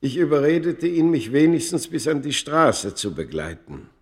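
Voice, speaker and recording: A man playing a proud ancient Egyptian who narrates his own story in a radio play, solemn, measured and dramatic. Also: fairly high pitch, expressive, natural voice. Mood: reflective. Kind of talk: literary first-person narration in a radio play.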